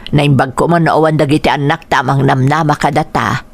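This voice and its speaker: A woman's voice: low voice, steady tone, moderate pace, gravelly. A woman acting in a radio drama as a tired, hardworking, devoted middle-aged wife and mother with an Ilocano accent. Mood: weary, tender.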